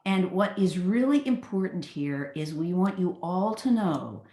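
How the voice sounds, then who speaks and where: A woman hosting a webinar on a video call, praising speakers and moving briskly to the next topic. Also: lowish voice, animated, reverberant. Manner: warm praise, chatty, brisk transitions. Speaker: host, program leader.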